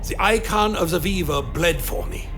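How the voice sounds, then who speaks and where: Brooding, higher-voiced vampire narrator. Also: male voice, fairly bright tone. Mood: grave. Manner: solemn, measured storytelling. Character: brooding undead male vampire warrior narrating his journey. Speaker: narrator and protagonist. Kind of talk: scripted first-person dramatic narration. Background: music.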